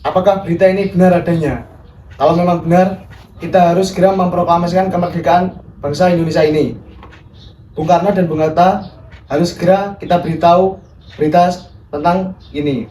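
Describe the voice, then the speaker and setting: Young male freedom activist, man acting: formal, insistent, soft-edged tone, recorded off-mic in a reverberant space. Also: sits high, fairly even intonation, fairly quick, long pauses, natural voice. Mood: urgent. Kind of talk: amateur historical film acting, formal scripted dialogue. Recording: echoey room, distant mic, faint noise.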